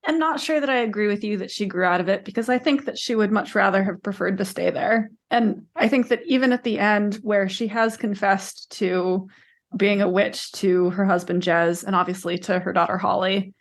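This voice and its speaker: A woman's voice: medium pitch, normal speed. A woman co-hosting a book discussion podcast, talking casually, pushing back and carefully qualifying her points. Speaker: podcast co-host.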